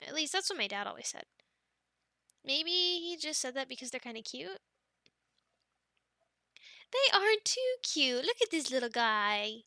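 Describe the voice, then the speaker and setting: A young woman voicing an affectionate, teasing girlfriend in an ASMR roleplay, speaking softly, giggling and dropping into whispers, close to the mic. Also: high voice, big pitch swings, a little slow, pauses often, dry recording, heavily acted. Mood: playful. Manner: soft and giggly, drifting into whispers.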